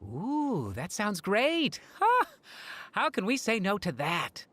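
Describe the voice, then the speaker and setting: A woman's voice: medium pitch, huge pitch swings, slow, fairly bright tone, a little hiss or hum, heavily acted. A woman playing a cheeky, easygoing female friend in a video game, with a playful, teasing manner.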